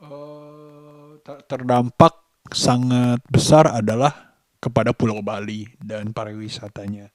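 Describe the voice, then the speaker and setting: A man talking in a casual daily vlog, reflective and halting, pausing mid-sentence. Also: medium pitch, little pitch movement, no echo, clean studio sound. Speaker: vlogger.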